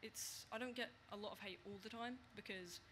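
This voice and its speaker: A man's voice: high voice, steady tone, fairly quick, pushed and bright, a little hiss or hum. A young man speaking earnestly as a panelist in a casual panel discussion.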